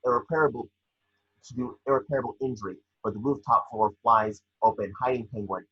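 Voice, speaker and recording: A man with a husky voice reading scene descriptions at a table read, sometimes stumbling over the script. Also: mid-range voice, brisk, lo-fi sound.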